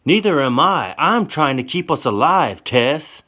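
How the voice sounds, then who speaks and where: A man voicing a protective, hot-tempered young man in a told story, speaking forcefully. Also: fairly low pitch, a little slow, lightly acted. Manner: forceful, yelling.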